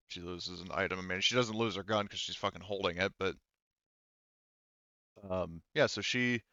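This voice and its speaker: A man's voice: fairly low pitch, fairly bright tone, smooth, dry recording, dull audio. A man running a tabletop role-playing game as game master, talking informally and swearing casually. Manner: profane, informal. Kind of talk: casual tabletop game narration, online session.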